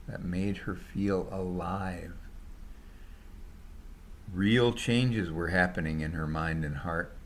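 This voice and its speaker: A man narrates a written story in a low register. His delivery is slow and measured. Some faint noise sits behind the voice. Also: fairly bright tone. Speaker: author reading own story. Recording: noticeable background noise.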